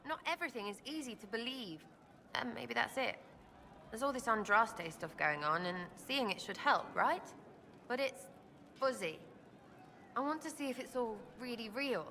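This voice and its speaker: A woman's voice: fairly high pitch, lively intonation, moderate pace, pushed and bright, big-room sound, a little hiss or hum. An actress playing a blunt, wary, irreverent young woman in a video game, with choppy, slangy phrasing. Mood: guarded, conflicted. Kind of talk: video game dialogue acting.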